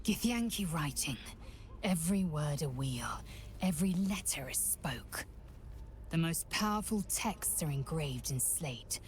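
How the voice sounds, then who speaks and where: A woman playing a stern, proud alien warrior woman in a video game, clipped, grave and formal with a hushed, breathy edge. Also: low-pitched, expressive, unhurried, slight background noise. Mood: intent, solemn. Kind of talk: video game character dialogue.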